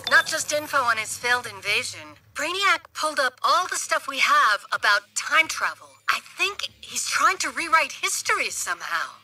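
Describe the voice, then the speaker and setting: An actress playing a brisk, clever superhero tech expert in a video game, matter-of-fact and slightly wry. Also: female voice, high voice, sing-song, bright, forceful tone, exaggerated voice, low-quality recording. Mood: wry, analytical. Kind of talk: video game character acting.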